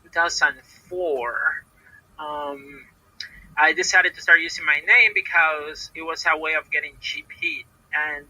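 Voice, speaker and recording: Halting Puerto Rican woman, bright tense voice. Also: deep voice, no echo, poor audio. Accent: Puerto Rican Spanish. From Puerto Rico. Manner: hesitant, halting, many repeated words and fillers. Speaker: professional wrestler, podcast guest. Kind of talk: casual interview answers.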